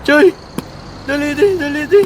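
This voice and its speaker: Someone voicing an excitable high-pitched village gossip. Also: moderate pace, background noise, close to an everyday voice. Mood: agitated surprise. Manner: exclamatory, repetitive. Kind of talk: comedic audio drama acting.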